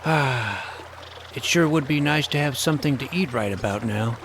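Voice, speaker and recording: A man's voice: mid-range voice, normal speed, background noise, lightly acted. A man playing an eager boy adventurer who tells his fantasy story in a florid, wry way, with acted dialogue. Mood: wry, wistful. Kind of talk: scripted first-person story narration with acted dialogue. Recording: music under the voice. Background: music.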